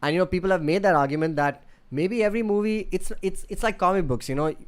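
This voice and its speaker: A man's voice: sits high, quick pace, clear, resonant tone. A man co-hosting a podcast in casual chat, talking in a rambling, enthusiastic way with many restarts. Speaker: podcast co-host.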